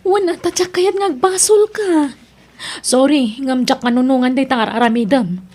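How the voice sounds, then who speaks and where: Woman playing a scolding best friend, really high voice, ilocano accent, moralizing in a radio drama. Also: normal speed, a little mellow.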